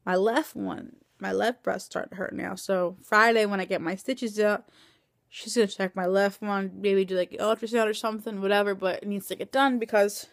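A woman of twenty talking into a phone camera at home at night, tired and in pain after surgery, rambling with many halting restarts. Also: medium pitch, steady tone, creaky, no room sound, clean studio sound. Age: 20s. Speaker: vlogger. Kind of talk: casual video diary. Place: small room indoors at night. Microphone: phone camera held close.

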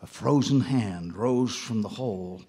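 A man's voice: fairly low pitch, unhurried, warm tone, slightly rough. An actor playing a wry, folksy small-town ice fisherman narrating a ghost tale in a live radio drama. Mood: wry, storytelling.

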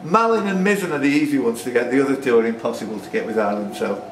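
A man works as quizmaster in a busy pub, reading questions and tossing in chatty, jokey asides. His mid-pitched voice sits away from the microphone in an echoey room, with clear background noise around him. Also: very fast, recorded from a distance. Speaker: quiz host reading questions. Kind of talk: live pub quiz hosting, questions and banter. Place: indoors in a pub.

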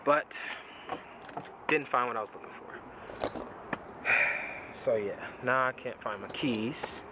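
A man's voice: mid-range voice, slow, very noisy. Laid-back young man vlogging to camera. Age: young adult. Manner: laid-back, talks to camera, commands dogs firmly. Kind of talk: casual vlog chat. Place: car park outdoors, then house indoors. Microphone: handheld camera at arm's length.

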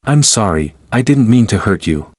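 A man with a somewhat low voice reads short answers for a language lesson, each line carefully paced. The sound is dry and close, with no room around it. His delivery stays clear and even. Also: no echo. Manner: clear, even, deliberate reading. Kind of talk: slow repeated example sentences for learners.

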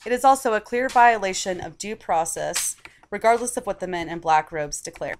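A woman reading a scripted opinion article aloud in a measured, articulate way. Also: medium pitch, a little hiss or hum. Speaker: article reader.

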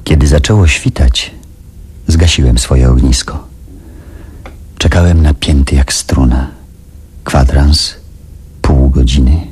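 A man's voice: rumbling low, steady tone, slow pace, pauses often, a little mellow, breathy edge, soft background music, heavily acted. An actor playing a hunted, determined man in a radio play, narrating his ordeal and thinking aloud tensely. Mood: tense waiting. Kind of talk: dramatic first-person radio play narration. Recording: noisy old radio drama recording.

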